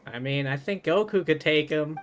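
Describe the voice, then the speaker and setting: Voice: mid-range voice, moderate pace, a little hiss or hum. A game streamer giving casual, informal reaction commentary in a musing way. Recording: faint game music behind.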